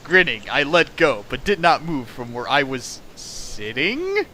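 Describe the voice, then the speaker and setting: A man's voice: a little high, big pitch swings, measured pace, sharp tone, a little hiss or hum, rough recording. A young man reading a bad fanfic aloud and riffing on it with sarcastic, exasperated rants. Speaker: fanfic riffer. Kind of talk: comedic dramatic reading and riffing.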